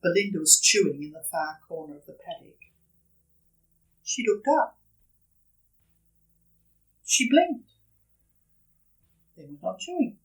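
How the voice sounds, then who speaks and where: A woman's voice: lowish voice, sing-song, recorded from a distance, rough recording. A woman reading a children's story aloud expressively, dramatically stressing and drawing out repeated words. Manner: dramatic, with emphatic repetition and drawn-out words.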